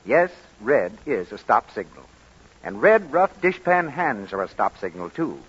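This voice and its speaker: A man's voice: mid-range voice, big pitch swings, unhurried. An American man announcing in a polished, emphatic, scripted 1940s radio advertising style. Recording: muffled, raspy 1940s radio broadcast recording.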